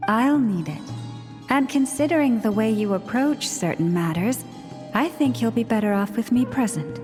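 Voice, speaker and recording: A woman's voice: on the high side, expressive, normal speed, darkish tone, faint music behind, lightly acted. An actress playing a polite, assured female companion in a game, speaking formally and firmly. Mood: firm, teasing. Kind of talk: dramatic game voice acting. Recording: long reverberant echo.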